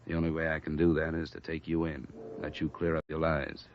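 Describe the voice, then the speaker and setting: A man's voice: extremely low pitch, monotone, brisk. A man playing a stern, world-weary insurance investigator with an American accent and clipped, pointed delivery, in a 1950s radio detective drama. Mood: hurt, accusing. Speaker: lead actor and narrator. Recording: muffled, hissy vintage radio recording.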